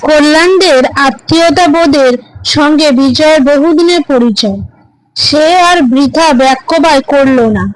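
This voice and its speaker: A woman's voice: high-pitched, measured pace, no room sound, low-quality recording. A woman narrator reading a scripted horror story for a cartoon.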